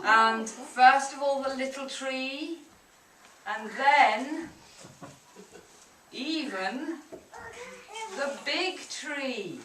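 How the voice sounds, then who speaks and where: A woman telling a children's story live at a puppet show, dramatically and deliberately, inviting the audience to join in. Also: sits high. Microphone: distant camcorder microphone. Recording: echoey room from far off, loud noise.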